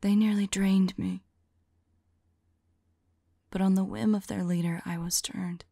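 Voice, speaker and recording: A woman playing a remorseful, lovelorn female vampire in an ASMR roleplay, whispering softly and tenderly in a halting, intimate monologue. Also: medium pitch, fairly even intonation, dry recording, studio quality. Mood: haunted, sorrowful. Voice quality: soft, breathy whisper. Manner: slow, halting, tender delivery.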